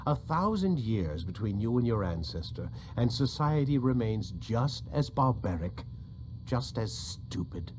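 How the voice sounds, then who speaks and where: An actor playing an older, condescending scientist villain in a video game, impatient and lecturing. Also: male voice, on the low side, very animated, unhurried, background noise. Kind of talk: dramatic video game acting.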